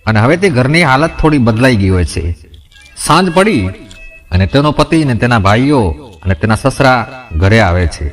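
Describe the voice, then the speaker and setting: Male storyteller, mid-pitched, faint music underneath. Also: sing-song, normal speed, long pauses. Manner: addresses listeners directly, voices quoted characters in his own voice. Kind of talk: narrating a moral folk tale.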